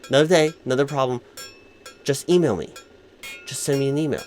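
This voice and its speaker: A man's voice: medium pitch, brisk, a little mellow, faint music behind, close to an everyday voice. A man playing a distracted, flustered corporate hiring manager in a comedic roleplay, speaking in wordy, stammering office formality. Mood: flustered, exasperated. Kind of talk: comedic one-sided ASMR-style roleplay, spoken aloud.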